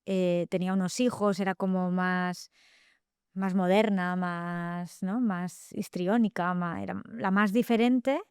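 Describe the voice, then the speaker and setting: A middle-aged woman with a Catalan accent, a novelist talking reflectively in an interview, often pausing with fillers and tag questions. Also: on the low side, fairly even intonation, a little mellow, clear, resonant tone, dry recording, studio quality. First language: Spanish. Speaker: guest novelist. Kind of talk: thoughtful interview talk.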